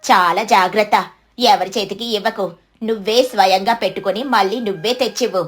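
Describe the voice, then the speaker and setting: Woman as a gracious older village matron, lower-toned. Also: fairly even intonation, fairly quick, faint music behind, lightly acted. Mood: kind, cautious.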